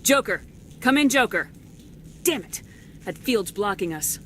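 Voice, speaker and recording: A woman's voice: mid-range voice, lively intonation, unhurried, a bit bright, a little hiss or hum. A woman playing a resolute military commander in a video game, with a direct, businesslike manner. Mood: urgent, frustrated.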